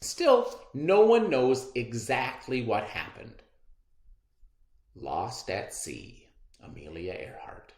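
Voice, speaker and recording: A man leads a children's reading lesson, with a pitch that leaps up and down as he explains and reads aloud. He speaks in an encouraging way, at an unhurried pace. The room has a noticeable echo. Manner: animated, encouraging teacher talk to children. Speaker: teacher. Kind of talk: classroom read-aloud lesson.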